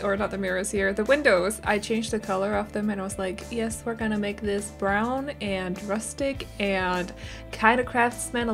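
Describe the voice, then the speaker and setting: A young woman narrating a game building video in a chatty, casual voiceover, her voice a little rough and strained, often correcting herself and giggling. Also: medium pitch.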